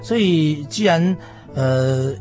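A man with a Taiwanese Mandarin accent talks as a preacher invited onto a radio programme. He reflects in a conversational, halting way, repeating phrases and ending them on particles. Music plays behind him. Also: a little high, expressive, darkish tone. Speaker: preacher, interview guest.

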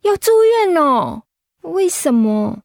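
A timid high school girl in a radio drama, speaking Taiwanese Mandarin in a high voice. She is anxious and hesitant, taking her words slowly. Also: sing-song, vocal fry, dry recording, clean studio sound, heavily acted. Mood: puzzled, preoccupied. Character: worried, timid seventeen-year-old high school girl.

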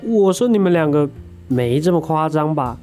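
A woman's voice: low-pitched, expressive, moderate pace, warm tone, soft background music. A woman with a Taiwanese accent playing a defensive, whiny young slacker housemate in an audio drama. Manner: protesting, exclamatory.

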